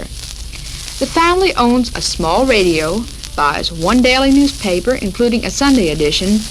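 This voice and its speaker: Woman, American accent, 1940s radio host, measured scripted reading, mid-pitched voice, crackling noisy recording. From United States.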